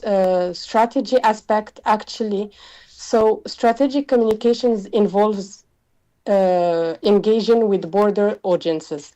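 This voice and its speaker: Moroccan-accented woman, mellow voice. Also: mid-range voice, poor audio. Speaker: interview guest, communications executive. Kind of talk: interview answers.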